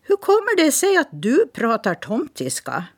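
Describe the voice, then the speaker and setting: A woman's voice: high voice, huge pitch swings, dry recording, studio quality, pitched above the performer's own voice, heavily acted. A woman doing an eager little elf boy. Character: small eager male elf on a mission.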